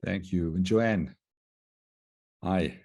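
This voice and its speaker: Male moderator, low mellow voice, friendly and halting, on a video call. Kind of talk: hosting an online session.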